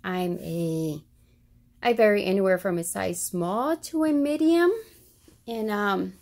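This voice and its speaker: A woman's voice: mid-range voice, takes it slowly, vocal fry, darkish tone. A woman casually showing items for a vlog, talking in a hesitant, rambling way in a carpeted room at home. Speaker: shopping haul vlogger. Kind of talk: casual narrated show-and-tell.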